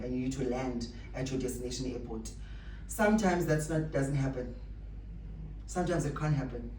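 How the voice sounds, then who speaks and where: Motivational speaker, mid pitch, roomy echo, mic a bit far off, repeats points for emphasis. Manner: emphatic, rhetorical questions and repetition. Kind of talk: motivational speech to staff. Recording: echoey room, distant mic, faint noise.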